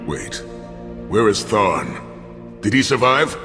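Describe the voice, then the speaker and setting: A man playing a noble, stoic and philosophical warrior in a video game, speaking in a measured, formal, earnest way. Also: on the low side, very animated. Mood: concerned. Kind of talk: video game character acting. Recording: long hall reverb, clear music behind.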